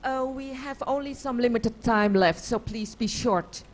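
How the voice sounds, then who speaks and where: A woman moderating a panel, giving the floor and urging speakers to keep things short. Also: fairly high pitch. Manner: brisk, keeping time.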